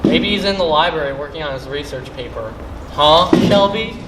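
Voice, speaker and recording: A man's voice: a little high, lively intonation, normal speed, very noisy, close to an everyday voice. A young man playing a laid-back, joking teenage jock in a school play, recorded on an echoey stage. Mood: casual.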